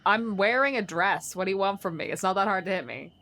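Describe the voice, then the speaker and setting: A woman's voice: mid-range voice, fast talker, fairly bright tone. A woman playing a tabletop game with casual, playful, dryly funny banter.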